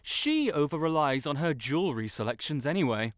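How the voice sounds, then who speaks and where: A man playing a vain, stuck-up young wizard with a secret soft spot, pompous and theatrical, in a roleplay audio drama. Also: a little high, animated, fairly quick, no echo, studio quality, lightly acted. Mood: indignant. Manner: pompous, theatrical, haughty, flustered outbursts. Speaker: voice actor.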